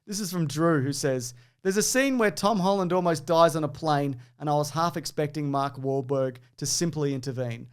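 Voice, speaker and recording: A man with a slightly high voice hosts a casual quiz. He reads aloud and chats between items, joking dryly and teasing as he goes. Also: normal speed, few pauses, smooth. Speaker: quiz host and podcaster. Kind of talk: casual banter, reading reviews aloud.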